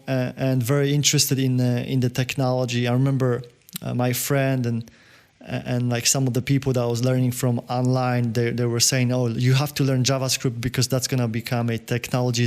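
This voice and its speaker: A man from Poland, answering interview questions as a podcast guest in a halting way, full of fillers and restarts. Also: medium pitch, little pitch movement. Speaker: podcast guest, business owner.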